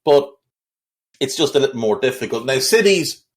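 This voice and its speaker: A man's voice: medium pitch, lively intonation, moderate pace. A man hosting a football podcast, talking in a blunt, opinionated way with frequent restarts. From Ireland. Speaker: podcast host. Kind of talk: casual football podcast chat.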